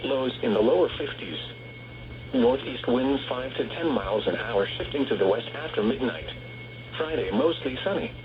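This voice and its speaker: A synthetic male voice reads a scripted weather bulletin as a radio announcer, in a measured, formal and impersonal way. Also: mid-range voice, expressive. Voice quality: synthetic text-to-speech voice. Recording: muffled noisy weather radio broadcast.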